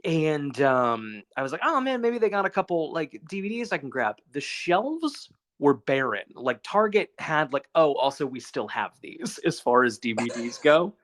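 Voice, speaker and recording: A man's voice: fairly high pitch, very animated, moderate pace, hardly any pauses, a bit bright. Rambling guy chatting on a phone call. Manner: rambling, casually profane. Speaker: podcast co-host. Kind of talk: casual podcast chat over the phone.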